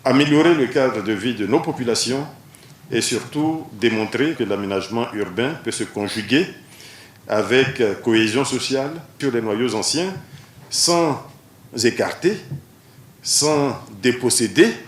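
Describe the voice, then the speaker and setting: A man making a formal public speech as an official, with deliberate, emphatic phrasing. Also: medium pitch. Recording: echoey, faint background noise.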